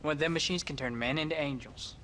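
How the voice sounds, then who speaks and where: A bookish teenage farm boy with a fairly high male voice. He is courteous and a bit hesitant, in game cutscene style with faint background noise. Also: fast, bright, forceful tone. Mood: dry, thoughtful. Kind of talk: video game cutscene acting.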